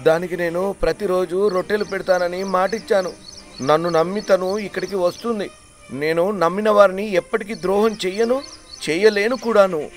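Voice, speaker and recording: A man's voice: high-pitched, fairly even intonation, normal speed, soft background music, natural voice. A man playing an honest, kind, timid village farmer in a cartoon moral tale, speaking earnestly. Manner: earnest, pleading.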